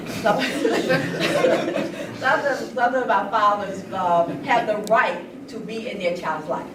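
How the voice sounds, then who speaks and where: A woman's voice: medium pitch, a little slow. Echoey, distant American woman moderating a panel. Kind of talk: informal public panel discussion. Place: large indoor event space with audience. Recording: echoey, very noisy room, distant mic.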